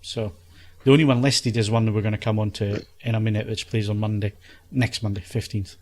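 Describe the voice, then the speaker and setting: A man's voice: lowish voice, little pitch movement, brisk, a little mellow. A man hosting a casual podcast and steering the conversation. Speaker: podcast host.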